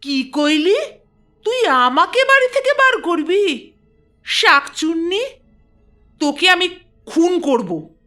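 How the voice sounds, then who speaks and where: A woman's voice: extremely high pitch, sing-song, slow pace, long pauses, pushed and bright, faint music behind, heavily acted. A woman voicing a jealous first wife who scolds and hurls insults. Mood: furious.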